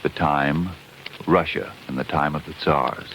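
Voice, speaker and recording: A man working as a radio announcer, reading formally in a measured 1940s broadcast style. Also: a bass voice, fairly even intonation, fairly bright tone, slight background noise. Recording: dull lo-fi 1940s radio recording.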